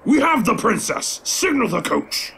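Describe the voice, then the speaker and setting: Gruff, creaky-voiced hostile soldier. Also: male voice, very high-pitched, very animated, a little slow, exaggerated voice. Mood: barking orders. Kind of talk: audio drama acting. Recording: loud background noise and ambience.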